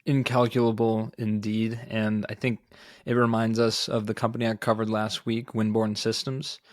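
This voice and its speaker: A man co-hosting a podcast, discussing startup news in a measured, analytical, semi-scripted manner. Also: on the low side, completely flat delivery, no echo, clean studio sound. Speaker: podcast co-host.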